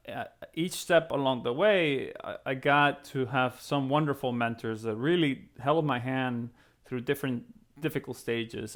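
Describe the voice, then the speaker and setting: Creaky-voiced man explaining to students. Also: medium pitch. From Venezuela (Caracas). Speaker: physics professor and program co-founder. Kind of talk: explanatory talk to students, conversational.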